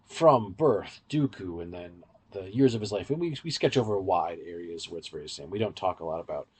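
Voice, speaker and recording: A man's voice: sits low, expressive, a little fast, slightly rough. A man co-hosting a podcast, giving casual, in-depth fan commentary in a rambling, explanatory way. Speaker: podcast co-host.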